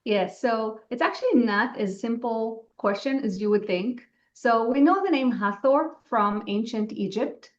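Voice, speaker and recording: Woman explaining, dull lo-fi audio. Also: fairly high pitch. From Israel. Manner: explanatory, earnest. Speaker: guest, spiritual channeler. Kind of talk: interview answers.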